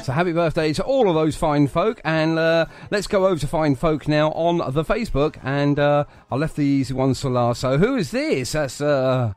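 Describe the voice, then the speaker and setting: A man's voice: on the high side, lively intonation, normal speed, hardly any pauses, darkish tone, no echo. A British man hosting a live radio show with casual, chatty, jokey talk. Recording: music playing behind the voice.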